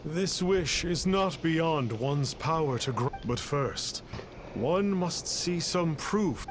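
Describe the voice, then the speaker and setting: A man's voice: on the high side, expressive, slow pace, background noise. An actor playing a masked, grandiose charlatan mystic in a video game, pompous and archaic in his formal wording. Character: masked male charlatan mystic, grandiose, smooth-talking, pious.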